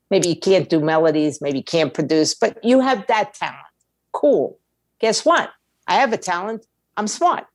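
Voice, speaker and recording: A woman's voice: medium pitch, no echo, studio quality. A woman giving opinionated interview answers in a blunt, emphatic way, with slangy phrasing and rhetorical questions. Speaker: music industry attorney and indie label owner, interview guest.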